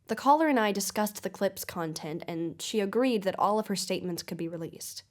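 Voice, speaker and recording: An actress playing a measured, skeptical investigative podcast host in a scripted audio drama. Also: female voice, mid-range voice, lively intonation, natural voice. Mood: inquisitive. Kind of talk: scripted investigative narration and interview.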